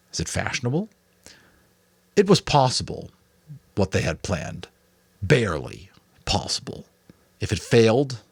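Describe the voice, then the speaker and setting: A man reading a story aloud in a dramatic, expressive narrating style. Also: deep voice, breathy edge, no room sound. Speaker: audiobook reader. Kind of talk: dramatic narration with occasional casual asides.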